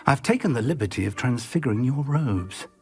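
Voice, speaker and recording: A man voices a scholarly professor in a fantasy game. He is wry and kindly, formal and thoughtful, with lively intonation in a mid-range voice. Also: mid-range voice, a little fast, faint music behind, natural voice. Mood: dry, assured. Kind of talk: video game cutscene acting.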